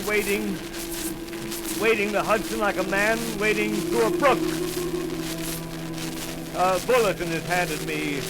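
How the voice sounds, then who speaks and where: A steadfast, doomed radio announcer reports from a rooftop in a raised, strained voice, dragging out his words. Alarm bells clang loudly behind him in this 1930s radio drama. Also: sits high, poor audio. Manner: halting, breathless eyewitness reporting. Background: warning bells ringing.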